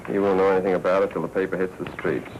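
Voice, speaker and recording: Muffled, faintly hissy 1940s film sound. A man plays a cool American newspaper editor with a low voice, terse, wry and unflappable in classic noir style. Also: level tone, racing, a little mellow, natural voice. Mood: wry, steady.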